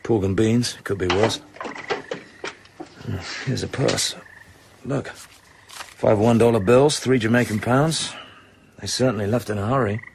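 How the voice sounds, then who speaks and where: An actor playing a tough British secret agent in a radio drama, speaking in a clipped, commanding, understated way. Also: male voice, lowish voice, unhurried, creaky, long pauses, background noise. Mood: wry, observant.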